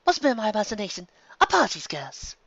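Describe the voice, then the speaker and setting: A man voicing a prim, fastidious, dramatic female unicorn in a storybook reading, in dull audio. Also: high voice, huge pitch swings, vocal fry, a bit bright, dry recording, pitched above the performer's own voice, heavily acted. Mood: dismissive.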